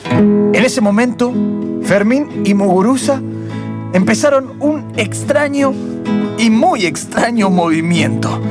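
A man's voice: very high-pitched, animated, over loud music, full character voice. A man playing a solemn, suspenseful narrator in an improvised comic radio play. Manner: deliberate, dramatic, suspenseful. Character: solemn storybook narrator of absurd adventures.

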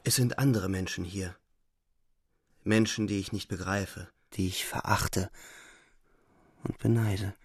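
A man's voice: deep voice, fairly even intonation, takes it slowly, long pauses, slightly rough, no room sound, full character voice. An actor playing a weary, alienated young soldier, narrating his inner thoughts in a brooding, intense radio drama monologue. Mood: brooding, sad. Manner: brooding, intense, insistent repetition.

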